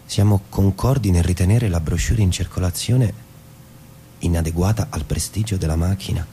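An actor playing a dry, deliberate narrator and office husband in a literary stage drama, reciting in a theatrical way. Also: male voice, deep voice, mellow, slight background noise, natural voice. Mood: flat, methodical. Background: music.

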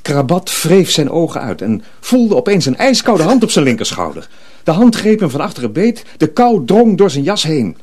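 A man narrating a scripted story dramatically, with a deliberate and suspenseful delivery. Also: a little high, big pitch swings, darkish tone.

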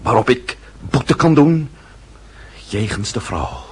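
An actor playing a proud, sardonic French marquis with a formal, mocking delivery in a period radio drama. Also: male voice, mid-range voice, very animated, slow, a little mellow, husky, exaggerated voice. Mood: solemn, measured. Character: proud French marquis, sardonic, coolly self-possessed nobleman. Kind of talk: radio drama acting in period costume piece.

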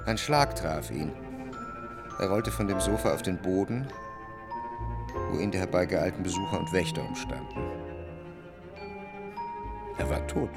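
A man's voice: low voice, animated, measured pace, long pauses, over loud music. A man narrating a literary radio drama in a reflective, earnest manner, recorded close in a studio. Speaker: first-person narrator. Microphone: close, dry studio.

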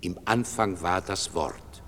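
Earnest male scholar for radio drama: low, unhurried verse, ambient noise. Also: a bit bright. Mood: solemn, searching. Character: solemn scholar Faust, earnestly pondering scripture. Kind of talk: classical verse declamation.

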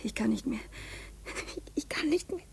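Husky, high-voiced exhausted woman. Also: lively intonation, moderate pace, heavily acted. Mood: exhausted, pleading. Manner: faltering, pleading. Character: exhausted, desperate woman under long interrogation. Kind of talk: 1950s German radio play acting.

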